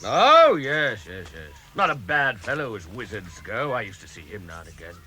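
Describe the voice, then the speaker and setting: An actor playing a huge, gruff, suspicious man in a 1960s radio drama, blunt and boisterous. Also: on the low side, huge pitch swings, moderate pace, sharp tone, full character voice. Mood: gruff, questioning. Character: huge gruff suspicious skin-changer man with booming laugh. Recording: vintage radio production with music.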